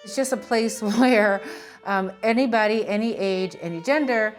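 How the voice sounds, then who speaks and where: A woman's voice: medium pitch, moderate pace, poor audio. A middle-aged American woman talking casually in an interview as a small business owner. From Philadelphia, United States. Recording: music playing behind the voice. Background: string music.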